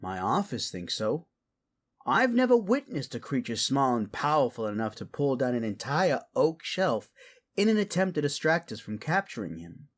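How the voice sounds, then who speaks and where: Man acting fantasy officer, earnest, extremely animated intonation, medium pitch, audio drama. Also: normal speed. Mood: wary.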